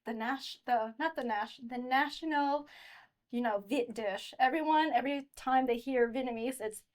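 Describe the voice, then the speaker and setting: A woman's voice: high-pitched, normal speed. A woman hosting a pageant interview, chatty, laughing often and restarting her phrases. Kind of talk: casual interview chat.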